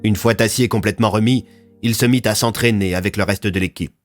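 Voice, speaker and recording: Male narrator for serial audio fiction, dramatic scripted read, low pitch, soft music bed. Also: steady tone, fairly quick.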